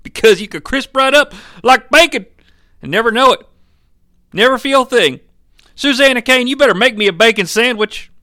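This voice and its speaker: A man's voice: very high-pitched, lively intonation, normal speed, pushed and bright, dry recording, clean studio sound, pitched above the performer's own voice, exaggerated voice. A man voicing a brash, blustering father in a storybook, folksy, bossy and exclamatory. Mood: bossy warning.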